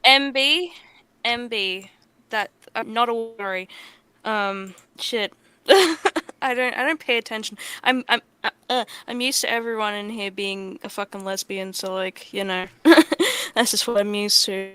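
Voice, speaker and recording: A woman's voice: mid-range voice, a little slow, pushed and bright, smooth, no echo. A woman chatting casually on a livestream in a blunt, playful, sweary way. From Australia. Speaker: co-host and audio roleplay creator.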